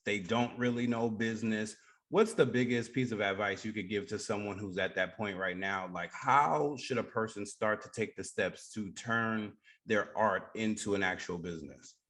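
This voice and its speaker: A man with a fairly low voice hosts an interview show. He speaks conversationally, putting questions to a guest as the presenter. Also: normal speed, fairly bright tone. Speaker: radio show host, interviewer.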